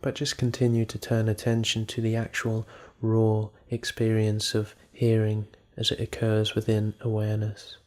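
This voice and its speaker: Male meditation guide: lowish voice, very flat intonation, calm and measured delivery with space between phrases. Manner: calm, measured, with unhurried pauses.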